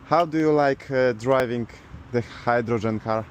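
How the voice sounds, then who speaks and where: Man, Polish accent, slow halting English, casual vlogging, faint car noise in the background. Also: medium pitch. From Poland. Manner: halting, simple non-native English. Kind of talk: casual vlog talk.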